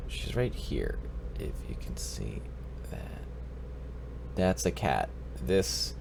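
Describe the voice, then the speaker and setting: A low-voiced man with a stuffy nose from a cold hosts a live stream, his pitch swinging up and down a lot as he rambles and cracks jokes. A faint hiss sits behind his voice. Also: vocal fry. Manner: rambling, joking, greets chat viewers by name. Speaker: livestream advice show host. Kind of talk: casual live-stream chat with viewers.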